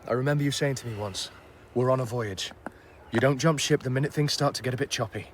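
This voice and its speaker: An actor playing an earnest, modest young doctor in a naturalistic radio drama, hesitant and self-effacing. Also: male voice, mid-range voice, fast talker, a little hiss or hum, natural voice. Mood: troubled, modest.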